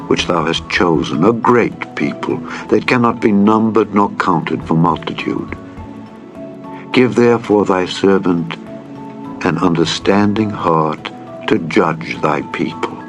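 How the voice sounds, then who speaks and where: Man as humble young biblical king, lower voice, earnest prayer, reverent, formal, dramatized scripture, light music. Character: humble young King Solomon praying to God. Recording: music bed under the reading.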